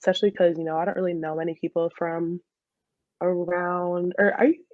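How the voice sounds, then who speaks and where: A woman's voice: lowish voice, brisk, no room sound, phone-quality sound. A woman in her twenties with an American accent, chatting casually as an interview guest in a giggly, storytelling way. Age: 20s. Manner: giggly, animated storytelling with quoted dialogue. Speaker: podcast guest, soccer player.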